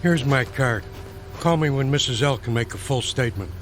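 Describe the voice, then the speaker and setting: Guy playing cop lieutenant, radio play, dry n matter of fact, medium pitch, faint music. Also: normal speed, lightly acted. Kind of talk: naturalistic radio drama acting.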